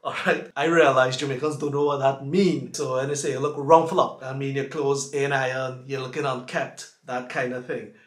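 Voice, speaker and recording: A man's voice: medium pitch, steady tone, normal speed, hardly any pauses. A Trinidadian man talks easily in a casual sit-down vlog, explaining words with everyday examples. His voice sits in a middle range. The sound has a noticeable echo from his room. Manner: chatty, explains slang with examples. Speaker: vlogger.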